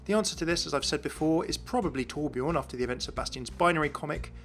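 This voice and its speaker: A man's voice: on the high side, fast talker, over loud music. A man giving an explanatory voice-over as the host of a game lore series.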